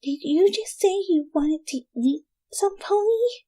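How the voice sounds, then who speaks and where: A woman's voice: extremely high pitch, animated, slow pace, dark tone, pitched above the performer's own voice, exaggerated voice. A woman voicing a timid, anxious young pegasus mare in a story reading, with a hesitant manner. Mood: alarmed.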